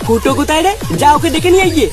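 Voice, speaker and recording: A woman's voice: high voice, lively intonation, very fast. A woman voicing a bossy, boastful young man who cooks for his gang in a comic cartoon, brash and crude. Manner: brash, crude, ordering others about. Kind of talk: comic Bengali cartoon dialogue. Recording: loud music over dialogue.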